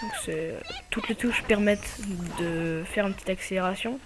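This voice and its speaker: Hesitant male gamer with a cold. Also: sits high. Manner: hesitant, many filler pauses. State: slightly stuffy with a cold. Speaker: gaming channel host. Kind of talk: casual gameplay commentary.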